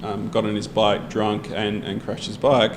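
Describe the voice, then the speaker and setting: A man's voice: lowish voice, completely flat delivery, normal speed, background noise. A young Australian man in a casual discussion, telling stories bluntly and swearing freely. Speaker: podcast co-host. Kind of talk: casual pub-style discussion.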